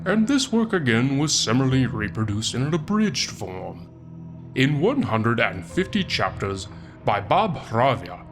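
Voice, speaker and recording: A man voicing a sardonic, foul-mouthed Satan who reads an old book aloud in a theatrical, deliberate way with wry asides, as comic narration. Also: mid-range voice, very animated, soft background music. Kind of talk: comic audiobook-style narration.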